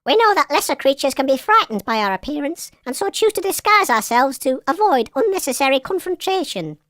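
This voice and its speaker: A squeaky, prim hive of parasitic mushrooms that speaks like a committee. Her voice is very high and bouncy, and it quickly turns shrill and bureaucratic. The recording is dry and close. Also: big pitch swings, fairly bright tone, no echo. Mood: solemn. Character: collective of parasitic mushroom beings, fussy and officious.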